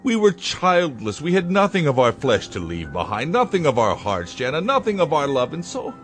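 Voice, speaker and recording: A man's voice: on the high side, huge pitch swings, moderate pace, fairly bright tone. An actor playing a middle-aged inventor who built his robot daughter, in a radio drama with music beneath. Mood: pleading. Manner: earnest, pleading. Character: middle-aged male inventor father, pleading. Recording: with music underneath.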